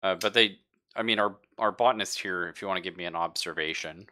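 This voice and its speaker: Man, very low pitch, bright forceful voice, game master, halting improvised narration, conversational. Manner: halting, conversational, describing scenes to players. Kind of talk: improvised narration of a live tabletop roleplaying game.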